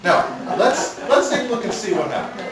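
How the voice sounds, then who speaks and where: A man's voice: very high-pitched, animated, normal speed, music in the background. A man narrating a church skit in a playful, chuckling way. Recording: echoey room, far mic, background noise.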